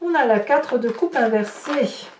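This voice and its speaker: A woman's voice: fairly high pitch, lively intonation, unhurried, a little mellow. A woman giving an informal tarot reading to viewers, chatting and thinking aloud as she handles the cards. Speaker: tarot reader and channel host. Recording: roomy, distant mic with light noise.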